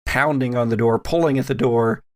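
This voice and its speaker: A man narrating a tabletop horror game as game master, with deliberate, dramatic phrasing and pauses. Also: mid-range voice, fairly even intonation.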